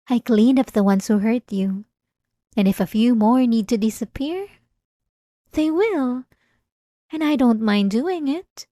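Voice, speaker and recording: Casting: woman to play obsessed maid for ASMR roleplay. Female, higher-pitched, unhurried, composed and formal, quietly menacing devotion, dry intimate sound. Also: dry recording. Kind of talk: scripted ASMR roleplay audio drama.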